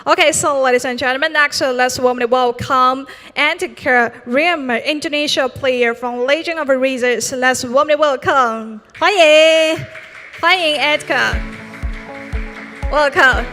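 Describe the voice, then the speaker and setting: A high-pitched woman with a bright, ringing voice, hosting a racing esports event from the stage in Mandarin and English. She has an upbeat announcer's delivery, with music playing faintly behind her. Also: a little slow. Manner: upbeat, formal announcer phrasing. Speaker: bilingual event emcee.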